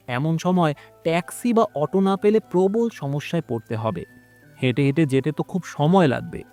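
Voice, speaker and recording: A man tells a scripted horror story over background music. His voice sits a little high and swings widely in pitch. The delivery is dramatic and expressive, that of an audio story narrator. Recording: music behind the voice.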